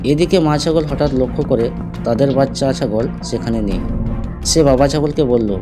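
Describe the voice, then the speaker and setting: Mid-pitched male storyteller over music. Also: moderate pace. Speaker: storyteller, narrator. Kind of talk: scripted cartoon fable narration.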